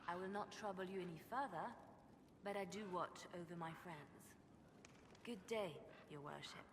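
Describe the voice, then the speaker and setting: A measured, cautioning spymaster with a lowish voice, voiced by a woman. She drops into near-whispers, and the sound carries a long reverb with loud ambience beneath it. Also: background noise, close to an everyday voice. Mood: stern. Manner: measured, quiet and cautioning, drops to hushed tones. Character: guarded female spymaster, protective of friends. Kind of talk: video game dialogue.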